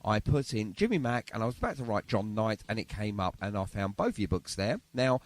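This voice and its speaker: A man's voice: on the low side, sing-song, a little fast. A British man hosting a podcast in a chatty, informal interview style. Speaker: podcast host. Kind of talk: casual interview chat.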